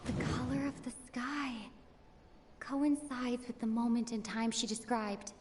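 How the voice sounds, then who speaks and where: A woman voicing a calm, analytical companion in video game dialogue, measured and pondering. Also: on the high side, natural voice. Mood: reflective. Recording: long reverb, faint stream noise.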